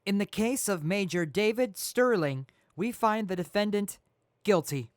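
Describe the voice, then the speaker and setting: A woman's voice: mid-range voice, measured pace, no echo, studio quality, natural voice. A woman as a businesslike jury foreperson. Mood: formal. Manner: flat, formal reading of verdicts.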